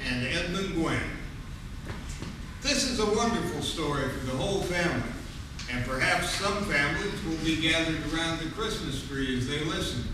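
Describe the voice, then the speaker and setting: A man's voice: fairly high pitch, noisy background. A man playing a genial, showy 1940s radio host and producer, announcing in a formal, theatrical style. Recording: echoey theater, distant, music behind.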